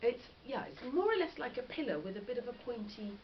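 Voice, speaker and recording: A woman's voice: on the high side, fairly quick. A woman reading a children's story aloud expressively, like a teacher. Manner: animated, with short explanatory asides. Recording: dull lo-fi audio with faint noise.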